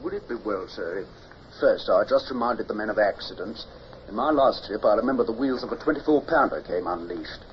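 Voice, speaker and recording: An actor playing an earnest, outspoken naval lieutenant, emphatic and blunt, in period radio drama style. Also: male voice, lowish voice, animated, close to an everyday voice. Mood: respectful. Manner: emphatic, blunt, hesitant pauses. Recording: dull old radio recording with hiss.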